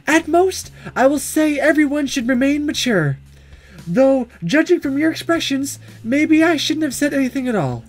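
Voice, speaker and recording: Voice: high-pitched, big pitch swings, moderate pace, voice pushed up. A let's player voicing a stern, awkward female teacher from a visual novel, making formal announcements over game music. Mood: prim, official.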